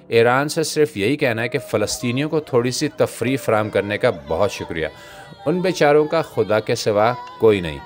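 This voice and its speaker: A man delivering scripted satirical political commentary in a sardonic, literary way. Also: fairly low pitch. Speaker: commentator, host.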